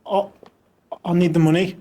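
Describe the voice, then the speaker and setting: A man's voice: high voice, level tone, normal speed, mellow. A man hosting a podcast, who adds brief affirming interjections and asks probing questions. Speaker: podcast host. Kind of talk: interview conversation.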